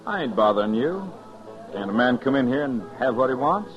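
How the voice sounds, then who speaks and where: An actor playing a peaceable American cowboy, a man with a middling-pitched voice. He sounds plain, folksy and on his guard, in an old radio western. The recording is dull. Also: very animated, a little fast, music in the background, natural voice. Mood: defensive. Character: wary, peaceable cowboy in a saloon. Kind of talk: 1950s radio western acting.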